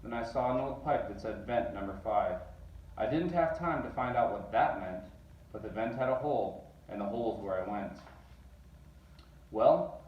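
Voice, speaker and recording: Guy reading a rhyming kids book out loud, expressive, echoey room, bit far from the mic. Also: medium pitch. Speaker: storybook reader. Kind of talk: expressive rhyming read-aloud for children. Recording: roomy, distant, dull sound.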